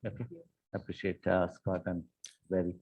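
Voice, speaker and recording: A man's voice: low voice, completely flat delivery, normal speed, breathy edge. A man chairing a board meeting, hesitant, with many fillers and restarts. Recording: dull lo-fi meeting audio.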